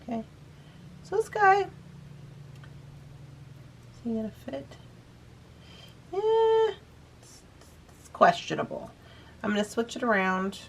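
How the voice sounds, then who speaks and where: A woman's voice: on the high side, big pitch swings, takes it slowly, long pauses, dull audio, a little hiss or hum. A woman hosting a beading tutorial, explaining each step in a chatty, easygoing way while she works. She is a bit sniffly. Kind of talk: casual step-by-step craft demonstration.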